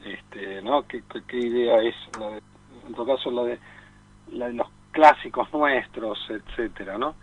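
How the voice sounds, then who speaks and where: A thin phone line carries an Argentine man of medium pitch. He speaks as a radio film columnist, reflective and conversational. His phrasing is halting, with repeated words as he works toward his point. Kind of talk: conversational commentary. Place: remote call into a radio studio.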